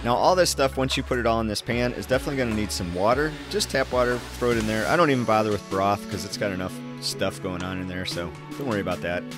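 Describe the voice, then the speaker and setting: A man's voice: fairly low pitch, quick pace, hardly any pauses. Chatty man vlogging from a bike. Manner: chatty, loose and self-mocking, talking to camera. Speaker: cycling vlogger. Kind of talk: casual vlog, cooking and riding. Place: home kitchen, then outdoors on a road bike. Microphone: action camera on the bike or held close. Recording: action-camera audio with music underneath.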